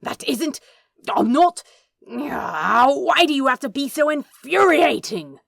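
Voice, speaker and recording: A woman's voice: high-pitched, very animated, slow, gravelly, fairly bright tone, dry recording, clean studio sound, pitched above the performer's own voice, exaggerated voice. A woman voicing an angry, wounded male demon in a story reading, sarcastic and sputtering through clenched teeth. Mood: exasperated. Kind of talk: dramatic fan fiction reading.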